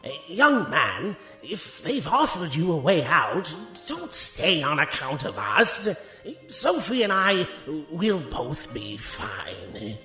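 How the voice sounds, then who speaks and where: A man's voice: fairly high pitch, sharp tone, full character voice. A man playing a jovial, folksy old professor in an audio drama, teasing and avuncular. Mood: teasing, kindly. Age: older. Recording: roomy, echoing sound with faint music.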